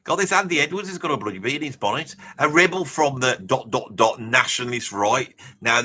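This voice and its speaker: A man's voice: a little high, pushed and bright. A man voicing an opinionated Birmingham bloke of about seventy, chatting bluntly and matily as he hosts a livestream. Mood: exasperated. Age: 70s. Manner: blunt, matey, ranting, peppered with mild swearing and tag questions. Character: brash, opinionated seventy-year-old Birmingham bloke, self-styled ladies' man. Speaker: livestream host. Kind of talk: casual livestream chat and commentary, answering viewer comments.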